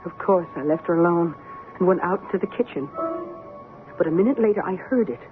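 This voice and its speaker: A woman's voice: on the low side, a little fast, faint music behind, close to an everyday voice. An actress playing a sensible woman who recounts her housemate's eerie obsession in an earnest, suspenseful radio drama style. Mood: suspenseful recounting. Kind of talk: old-time radio drama acting. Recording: noisy, narrow-band old radio recording.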